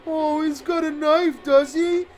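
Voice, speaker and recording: A man voicing a menacing, foul-mouthed man with a knife in a horror story, loud and strained, ranting and mocking. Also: extremely high pitch, fairly even intonation, unhurried, voice pushed up. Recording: prominent music under the voice.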